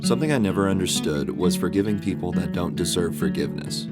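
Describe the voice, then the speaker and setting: Low-voiced man narrating over guitar music. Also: quick pace. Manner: reflective, confessional. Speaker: video essayist. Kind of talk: scripted personal video essay narration.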